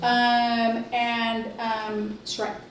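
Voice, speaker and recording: Echoey, higher-pitched woman, halting delivery. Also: completely flat delivery. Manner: hesitant, halting delivery with long pauses. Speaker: healthcare district executive reporting to board. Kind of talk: informal spoken report at board meeting. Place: indoor public meeting room. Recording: echoey room, distant mic, faint noise.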